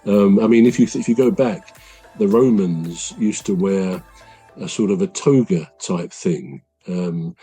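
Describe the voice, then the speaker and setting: A man with a deep voice, hosting a podcast and explaining things at length in a casual, measured way. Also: warm tone, soft background music. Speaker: podcast host.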